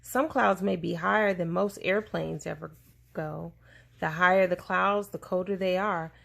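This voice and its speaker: A woman reading a children's science book aloud to a child in a deliberate, explaining way, pausing to answer questions. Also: fairly low pitch, steady tone, vocal fry, fairly bright tone.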